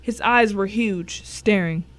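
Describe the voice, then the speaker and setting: A woman's voice: mid-range voice, slow pace, fairly bright tone, no echo. A woman playing the grim first-person narrator of a crime thriller in a scripted dramatic reading. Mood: grim, tense.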